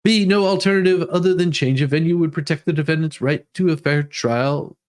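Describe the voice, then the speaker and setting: A man's voice: fairly high pitch, fairly quick. A man hosting a livestream, reading documents aloud and adding informal, rambling commentary in which he often repeats words. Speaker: livestream host. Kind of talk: dramatic reading of legal documents with casual commentary.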